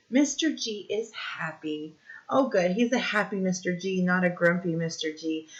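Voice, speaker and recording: A woman reading a picture book aloud to young children like a teacher, explaining simply and pausing to ask questions. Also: mid-range voice. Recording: dull, lo-fi recording.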